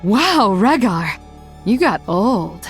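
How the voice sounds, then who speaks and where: An actress voicing a proud, mocking blood elf rogue in a video game, with a haughty, sardonic manner. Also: female voice, mid-range voice, very animated, very slow, soft background music, heavily acted. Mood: teasing. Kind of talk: video game character voice lines.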